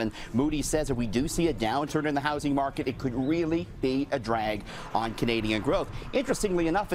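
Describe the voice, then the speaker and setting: A man's voice: mid-range voice, a little hiss or hum. A man in his thirties, a business editor, giving a chatty newscast report from a radio studio. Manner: chatty, joking banter between reports.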